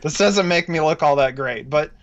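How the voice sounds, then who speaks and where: Slightly high male voice, dull lo-fi sound. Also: normal speed. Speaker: talk show participant discussing politics. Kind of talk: casual political commentary. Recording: dull, lo-fi voice connection.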